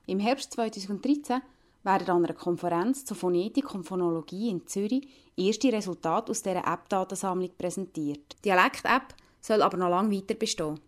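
A woman's voice: mid-range voice, fairly quick. A woman with a Lucerne Swiss German accent, narrating a scripted radio report as a reporter.

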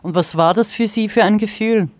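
A woman's voice: medium pitch, brisk, darkish tone, no echo. A woman interviewer putting prepared questions in formal, carefully phrased sentences.

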